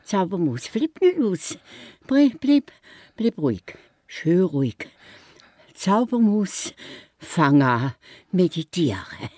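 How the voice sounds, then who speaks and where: An older woman with a Swiss German accent playing a bossy, excitable little magic mouse in a children's radio play, theatrically addressing listeners like a showman. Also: on the low side, takes it slowly, long pauses, no echo, studio quality, exaggerated voice. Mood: self-soothing.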